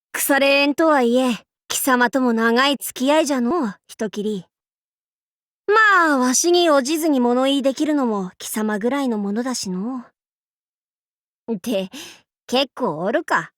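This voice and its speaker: High-pitched, swaggering girlish demon-king warlord. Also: expressive, unhurried, a bit bright, no echo, clean studio sound. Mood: wistful, musing. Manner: archaic lordly speech, haughty, abruptly switching to goofy. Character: boastful young female demon-king warlord, imperious yet playful. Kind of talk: Japanese anime game character voice lines.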